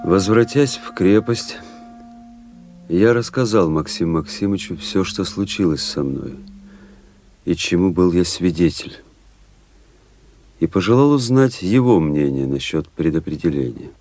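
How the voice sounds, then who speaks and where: A man playing a composed, daring army officer who narrates his own tale in a measured, grave, literary way for a radio play. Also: low-pitched, lively intonation, darkish tone, heavily acted. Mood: calm, reflective. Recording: music behind the voice.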